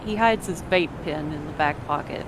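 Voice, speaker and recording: A woman's voice: low voice, normal speed, background noise. A woman narrating a visual novel as a scripted story.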